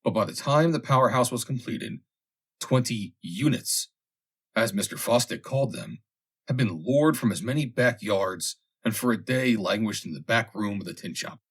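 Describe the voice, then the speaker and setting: A man reading a vintage humorous story aloud as scripted narration, with dramatic, expressive delivery. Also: mid-range voice, normal speed, slightly rough. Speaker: audiobook reader.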